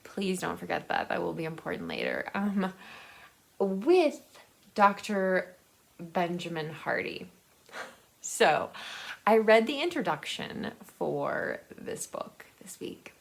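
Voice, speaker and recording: Slow-paced woman chatting about books. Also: on the low side, very animated, gravelly. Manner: halting, thinking aloud, trailing off and rephrasing. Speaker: book vlogger. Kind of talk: casual, unscripted book chat to camera.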